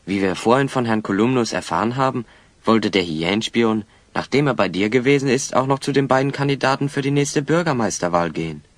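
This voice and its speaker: A man's voice: mid-range voice, lively intonation, brisk, no room sound, close to an everyday voice. Man acting a confident hero sleuth, mid-range voice, dry close sound, assured and probing, audio drama. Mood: shrewd, thoughtful. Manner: assured, probing, clearly enunciated.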